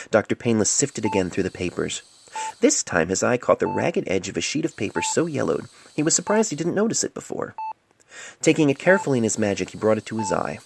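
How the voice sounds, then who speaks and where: Gravelly man narrating a somber story. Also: on the low side, noisy background. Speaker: author reading own story. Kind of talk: dramatic scripted narration. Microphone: cell phone mic. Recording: homemade phone-mic recording with music. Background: music and sound effects.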